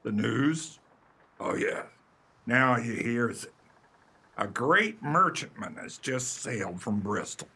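Guy doing blustery pirate captain in a radio spoof, slow n raspy, swoops around. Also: mid-range voice, lively intonation, pushed and bright, exaggerated voice. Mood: conspiratorial. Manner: blustery rustic pirate speech. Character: swaggering hook-handed male pirate captain, blustery. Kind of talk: comic radio pirate acting.

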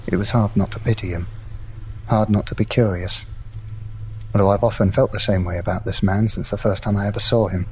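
A man's voice: fairly low pitch, steady tone, fairly quick, darkish tone, a little hiss or hum, close to an everyday voice, poor audio. An actor playing a curious, sympathetic man who narrates a gothic tale in an audio drama. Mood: pitying, reflective.

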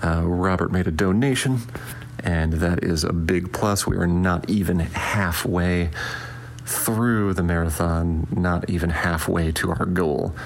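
A tired man giving sleepy, hushed updates during an all-night charity livestream at home. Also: fairly even intonation, a little slow, creaky, poor audio. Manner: sleepy, low-volume talk. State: exhausted from staying up all night. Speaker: charity stream host. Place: quiet house at night.

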